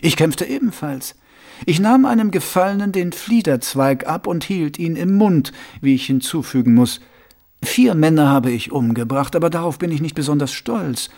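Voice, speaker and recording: Guy reading as a silky ex-assassin ruler, calm and amused, gravelly edge, raised a bit. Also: a little high, normal speed, voice pushed up. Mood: silky, measured. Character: suave, cool-headed male city ruler, former assassin.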